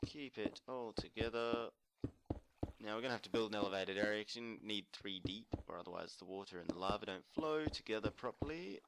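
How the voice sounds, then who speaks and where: A man casually narrating a building tutorial while playing, murmuring to himself and correcting himself as he goes, his voice a little croaky. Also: fairly low pitch, brisk, heavy background noise. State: slightly croaky from screaming at a concert. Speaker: let's play host.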